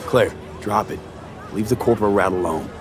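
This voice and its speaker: An actor playing a street-hardened young mercenary in a video game, terse, steady and low-key. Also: male voice, normal speed, a crowd in the background, close to an everyday voice. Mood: firm. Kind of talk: video game cutscene acting. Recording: music behind the voice.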